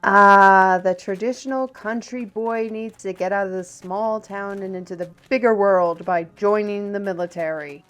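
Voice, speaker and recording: A woman's voice: mid-range voice, normal speed, hardly any pauses, fairly bright tone, natural voice. A woman playing a talkative, worldly steward in a fantasy audio roleplay, with a wry, teasing and chatty manner. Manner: chatty, teasing, addresses listeners as lass and lad. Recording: music behind the voice. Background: music.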